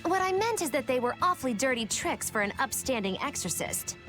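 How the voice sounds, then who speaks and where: An actress playing a sly, clever, teasing know-it-all in a video game, theatrical and knowing, with music underneath. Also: female voice, high voice, big pitch swings, a little fast. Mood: musing, teasing. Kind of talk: English video game dub acting.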